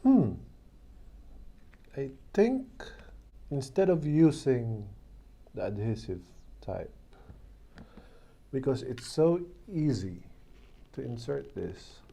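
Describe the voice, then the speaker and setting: A man's voice: medium pitch, big pitch swings, slow pace, vocal fry, pauses often, mellow. A man talking casually and thinking aloud as he works through a hands-on product unboxing and installation. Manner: thinking aloud while working. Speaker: product reviewer. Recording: faint background noise.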